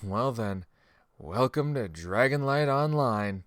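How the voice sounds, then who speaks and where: A man's voice: mid-range voice, lively intonation, slow, dry recording, clean studio sound. A man voicing a friendly, cocky veteran spearman in a fantasy game audiobook, with a casual, folksy, self-assured manner. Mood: amused, casual. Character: friendly, cocky male spearman, seasoned gamer.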